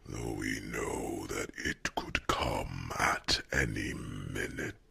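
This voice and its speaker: Very deep, gravelly, slow dragon. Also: male voice, bright, forceful tone, a little hiss or hum, heavily acted. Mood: soothing, reassuring. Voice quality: gravelly, breathy half-whisper. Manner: very slow, deliberate, with musing hums. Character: gentle, wise, protective dragon speaking to a human. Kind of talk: ASMR fantasy roleplay.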